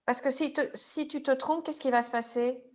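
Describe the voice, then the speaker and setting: A woman guiding a therapy session, asking calm, probing questions. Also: high voice, moderate pace. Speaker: EFT practitioner.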